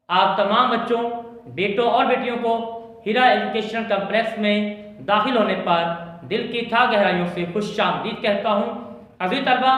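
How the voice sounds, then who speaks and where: A man with a Pakistani accent delivers a formal lesson to students in a notably high voice. He is oratorical and deliberate, often repeating phrases for emphasis. The sound is heavily echoing and reverberant. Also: fairly bright tone. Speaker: Urdu language and literature teacher.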